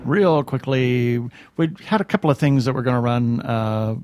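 A man's voice: mid-range voice, lively intonation, normal speed, loud music behind the voice. A man hosting a podcast, casually reading announcements and signing off. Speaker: podcast host. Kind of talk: casual webcast announcements and sign-off.

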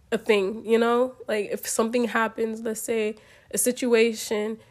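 A nineteen-year-old woman hosting her own podcast, her voice a bit hoarse from a cold, chatting loosely with lots of ums and you-knows and small laughs. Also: medium pitch. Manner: rambling, many ums and you-knows, giggly. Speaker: podcast host. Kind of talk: casual solo podcast talk.

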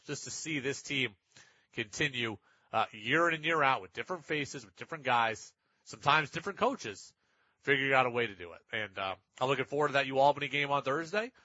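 A man's voice: on the high side, sharp tone, no echo, highs cut off. A man hosting a sports talk radio show, giving emphatic commentary and repeating phrases for stress.

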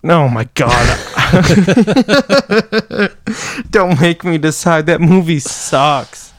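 A man's voice: fairly high pitch, lively intonation, extremely slow, no echo. A young man co-hosting a podcast, rambling enthusiastically in a casual chat with lots of "like" and "you know". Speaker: podcast co-host.

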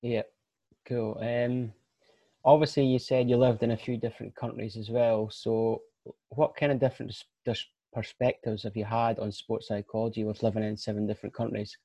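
A man hosts a sports podcast, reading out a guest's credentials and then asking questions. His voice is mellow and dark, and the recording is dry and close. He stumbles now and then with ums and slips. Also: fairly low pitch, little pitch movement, normal speed, dry recording. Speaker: podcast host.

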